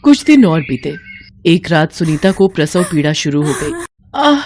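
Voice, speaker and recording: A woman with a slightly low voice narrates a dramatic moral story. Her delivery is scripted storytelling. Faint noise runs behind the recording. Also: lively intonation, moderate pace, a little mellow.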